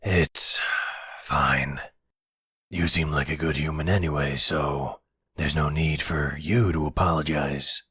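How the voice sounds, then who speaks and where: A man voicing a calm, fatherly werewolf pack leader and researcher in a roleplay audio drama, with a measured, formal, soothing way of guiding. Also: extremely low pitch, husky, no echo, clean studio sound, exaggerated voice. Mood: measured, explanatory.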